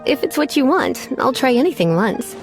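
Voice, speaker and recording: A coy, languid adult witch in a video game. She teases in a genteel, flirtatious way, her fairly high voice full of lilting swoops, with light music behind. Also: very animated, normal speed. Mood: teasing, indulgent. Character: flirtatious, languid adult witch librarian, teasing, quietly menacing. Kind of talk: video game character acting.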